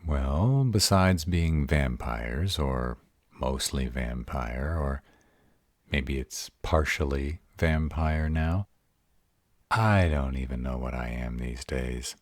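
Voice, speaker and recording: A man's voice: very deep voice, slow, dry recording, studio quality, heavily acted. A man acting a sarcastic, baffled vampire in an audio roleplay drama, wary and exasperated, with a casual, sweary manner. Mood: uneasy, sarcastic.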